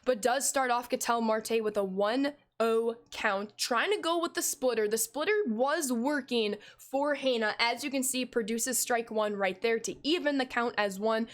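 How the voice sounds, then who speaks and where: A slightly hoarse woman in her twenties giving upbeat live play-by-play commentary on a game stream and thanking viewers by name. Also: a little high, moderate pace, few pauses. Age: 20s.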